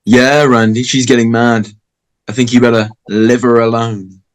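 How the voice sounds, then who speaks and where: A man playing a crass, excitable high-school boy in a table read of a slasher script. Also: normal speed, natural voice. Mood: defensive. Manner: animated, brash.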